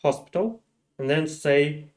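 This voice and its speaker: A man's voice: fairly high pitch, expressive, unhurried. Earnest man explaining in simple English. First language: Ukrainian. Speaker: engineer guest. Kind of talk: casual interview talk. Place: apartment.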